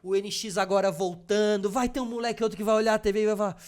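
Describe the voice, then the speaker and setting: High-voiced man, eager podcast host. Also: little pitch movement. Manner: enthusiastic, quick to agree, music-fan talk. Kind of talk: casual interview chat.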